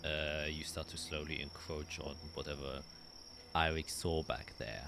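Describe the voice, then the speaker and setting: A man narrating a tabletop roleplaying game as game master, explaining in a halting way and often rephrasing. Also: rumbling low, steady tone, gravelly, noisy background.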